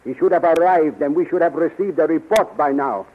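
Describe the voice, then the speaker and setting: A man's voice: a little high, brisk, phone-quality sound, close to an everyday voice. A man playing a commanding prince and military leader in a 1930s radio serial, declaiming orders on a scratchy recording. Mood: commanding, resolute.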